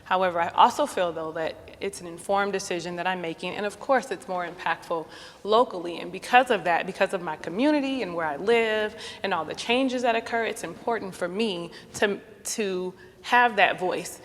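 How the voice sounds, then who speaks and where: A woman's voice: on the low side, moderate pace, slight background noise. A woman in her twenties, a student, speaking earnestly and from the heart in a group discussion. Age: 20s. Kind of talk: debate discussion. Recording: roomy hall reverb, faint ambience.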